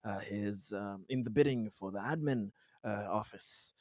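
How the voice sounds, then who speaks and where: A man playing a tabletop roleplaying game, improvising casual in-character talk in a halting, stammering, wordy way. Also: lowish voice, darkish tone, no room sound, clean studio sound.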